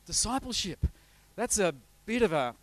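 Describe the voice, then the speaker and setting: A middle-aged Australian man speaking as a guest pastor, his voice sitting a little higher than most men's. He talks loosely and conversationally, full of uhs and false starts, and the sound is close and dry with almost no room echo. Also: very animated, moderate pace, fairly bright tone. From north coast of New South Wales, Australia. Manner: chatty, rambling, frequent uh fillers and restarts. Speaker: visiting pastor. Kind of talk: informal sermon introduction with slides.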